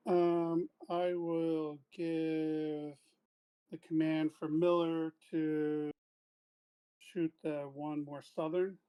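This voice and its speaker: A man's voice: fairly high pitch, little pitch movement, drawn-out words, warm tone, clear, resonant tone, poor audio. A man chatting casually as a player in a tabletop roleplaying game.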